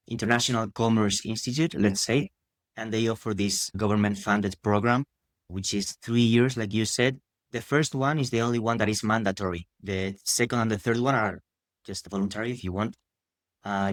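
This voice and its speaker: A man's voice: lowish voice, no room sound, studio quality. A man, a podcast guest explaining things at length and rephrasing as he goes, often adding "no?" at the end of a thought. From Spain. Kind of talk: casual interview answers.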